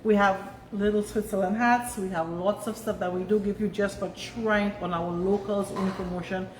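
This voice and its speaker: A woman's voice: mid-range voice, fairly even intonation, moderate pace, a little mellow, slight background noise. Laughing, persuasive saleswoman in an echoey store. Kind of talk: casual sales pitch on camera. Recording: echoey room from far off.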